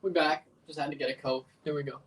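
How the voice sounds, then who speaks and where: A man's voice: fairly high pitch, steady tone, quick pace, recorded from a distance, noisy background. A man from Mississippi with a Southern accent who hosts a livestream and chats casually with viewers in a laid-back, rambling way. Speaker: livestream host reacting to a match. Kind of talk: casual livestream chat, answering viewer comments.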